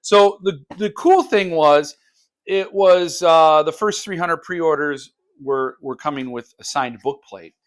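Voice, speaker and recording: A man with a slightly high voice speaks as a book vlogger. His delivery is casual and unscripted, as if thinking aloud to his viewers, with phrases that break off and pick up again. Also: slow.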